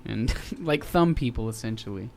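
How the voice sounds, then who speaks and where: A man in casual podcast banter, joking around and breaking into laughs. His pitch swings up and down a lot as he talks. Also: animated, normal speed, vocal fry, darkish tone, a little hiss or hum. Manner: joking, laughs often between remarks. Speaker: podcast co-host. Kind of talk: casual chat.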